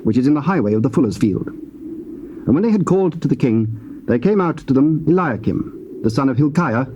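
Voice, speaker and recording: Mellow British man narrating scripture. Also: mid-range voice, animated, highs cut off. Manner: measured, formal, deliberate phrasing. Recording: old cassette with faint hiss.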